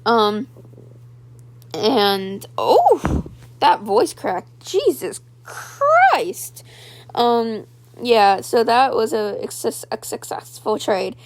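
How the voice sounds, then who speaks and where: A young woman with a raspy voice, chatting casually over gameplay footage and retelling trades with lots of "like" and "um". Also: fairly high pitch, big pitch swings, extremely slow. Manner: chatty, filler-heavy storytelling, full of "like" and "um". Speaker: gaming video creator.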